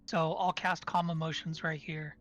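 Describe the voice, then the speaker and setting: A player in a tabletop game who talks in a hoarse, tired voice with many halting fillers. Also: mid-range voice, little pitch movement, fairly bright tone.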